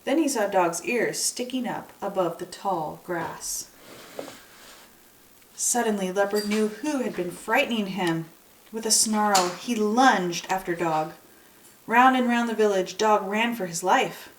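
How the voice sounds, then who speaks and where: A woman storyteller reading a children's folktale aloud in an expressive narrating style. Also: mid-range voice, measured pace, background noise. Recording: echoey room, distant microphone.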